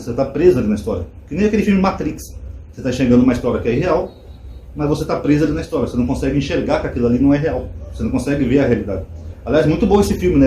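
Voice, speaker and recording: A fast-talking man, speaking casually to his audience with a mellow, somewhat high voice. He fills his explanations with rhetorical questions. The room echoes, with a faint hum behind him. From Brazil. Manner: conversational, animated asides with rhetorical questions. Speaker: channel host. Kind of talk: casual commentary to viewers.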